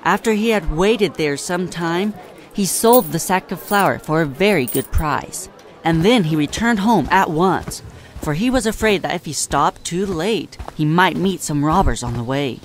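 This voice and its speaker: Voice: medium pitch, expressive, a little slow. A narrator reading a scripted storybook tale in an audio drama.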